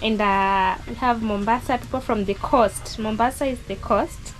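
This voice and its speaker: A woman's voice: a little high, steady tone, moderate pace. A Kenyan woman giving casual vlog narration while filming a family shop kitchen outdoors, explaining things with plenty of "you know" fillers. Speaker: vlogger filming a relative's shop.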